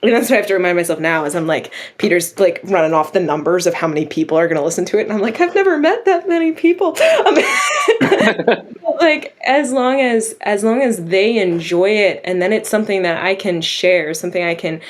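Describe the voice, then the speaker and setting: A young woman, a script writer, talking casually in an interview, animated and quick to laugh. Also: medium pitch. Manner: animated, laughs often, acts out her own thoughts.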